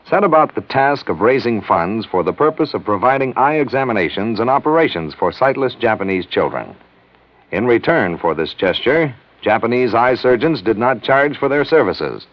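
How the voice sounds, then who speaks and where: A male announcer reading a scripted public service message in an earnest, measured way. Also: mid-range voice. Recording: dry, dull vintage radio.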